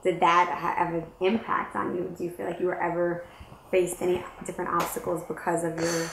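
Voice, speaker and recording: A woman's voice: on the low side, flat delivery, a little fast, creaky. A woman, hosting a conversational interview. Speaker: interview host. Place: living room, indoors on a sofa. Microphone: clip-on lapel mic. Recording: roomy echo with background noise.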